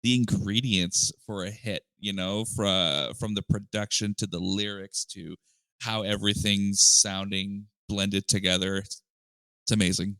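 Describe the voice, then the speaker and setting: A man chatting casually as a podcast guest, relaxed and talkative. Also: lowish voice, unhurried, dry recording, rough recording. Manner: relaxed, chatty with fillers and laughs.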